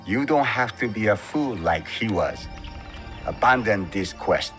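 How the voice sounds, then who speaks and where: Grave older warrior mentor for a game, lowish voice, cryptic and serious, music behind. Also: male voice, animated, fairly bright tone. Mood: grave warning. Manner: cryptic, measured, solemn. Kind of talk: dramatic video game cutscene acting.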